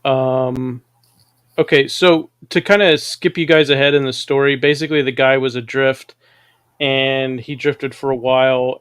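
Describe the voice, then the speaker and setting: A man's voice: medium pitch, clean tone, dry recording. A man hosting a live stream, chatting casually, reading viewer comments aloud and laughing often. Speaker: show host.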